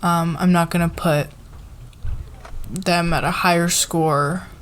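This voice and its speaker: A woman playing a flustered, fair-minded company boss in a casual roleplay ASMR, with a halting, informal, giggly manner. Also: fairly low pitch, steady tone. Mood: earnest, reassuring.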